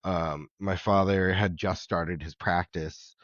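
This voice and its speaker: A man telling his life story as a podcast guest, reflective, with many pauses, ums and soft laughs. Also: low voice, fairly even intonation, no echo, dull audio. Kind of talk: candid personal conversation.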